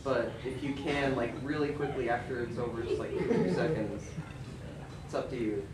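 A distant, echoey recording of a man in the audience at a panel. He asks his question casually and politely, hesitating often, with clear noise around him. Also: medium pitch, normal speed. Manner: polite, hesitant, filler-heavy. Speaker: audience member asking a question. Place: large conference room. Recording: noisy, echoey hall from far off.

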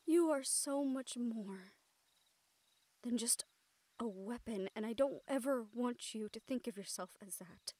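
A woman's voice: on the high side, a little slow, warm tone, no room sound. A woman acting a caring, encouraging siren-like mentor in an intimate audio roleplay, with a tender, earnest manner. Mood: tender, reassuring.

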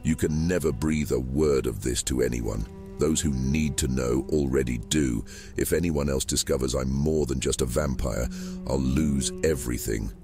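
An ancient, burdened djinn hiding inside a vampire. The voice is rock-bottom low and crackles with gravel. It belongs to a fantasy roleplay audiobook, with soft music underneath. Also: male voice, moderate pace. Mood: guarded.

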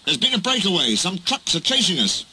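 Engine driver, storybook male reader: urgent, grim, clipped, forceful, pitched up. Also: sing-song, brisk, a little hiss or hum, heavily acted, low-quality recording.

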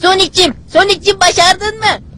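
Small child creature, cartoon, worried, childish, squeaky high, faint noise behind. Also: female voice, normal speed, bright, forceful tone. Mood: anxious, eager. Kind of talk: cartoon dub acting.

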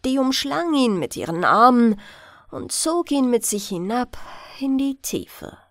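Expressive, unhurried woman reading a bedtime fairy tale. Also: on the high side, dry recording, studio quality. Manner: expressive storytelling. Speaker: audiobook reader. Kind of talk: scripted fairy-tale narration, bedtime audiobook.

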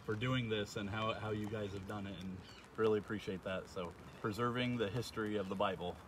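Low-voiced American man, noisy outdoors. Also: steady tone, fairly quick. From Iowa, United States. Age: 30s. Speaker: visiting pastor, interviewee. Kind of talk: casual interview chat. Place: outdoors on a lakeshore beach. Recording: clear outdoor ambience and vehicle noise.

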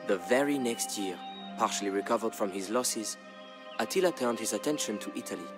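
A middle-aged man giving a scripted, dramatic historical narration in a solemn, measured storytelling manner. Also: lowish voice, lively intonation. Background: background music.

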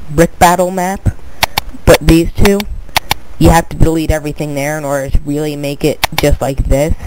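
A young man with a fairly high voice and a stuffed-up, congested nose explains things in a loose, rambling way. He keeps circling back with filler and restarts his words as he goes. There is a faint hiss under his computer mic, with mouse clicks around him. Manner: rambling, repeats "but anyway", restarts words. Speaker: tutorial video maker. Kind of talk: casual screen-recorded tutorial.

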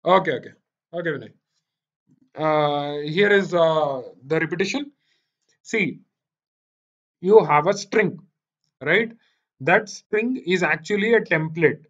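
High-voiced Indian man teaching online. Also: takes it slowly, pauses often, fairly bright tone. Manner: repeats himself, checks understanding often. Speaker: programming teacher. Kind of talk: live online lecture with questions to students. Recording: dull, lo-fi online meeting audio.

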